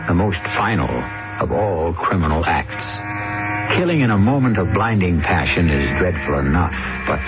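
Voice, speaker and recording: A man hosting a mystery radio show, introducing the drama in a measured, ominous way. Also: sits low. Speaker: host. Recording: dull old radio broadcast with music.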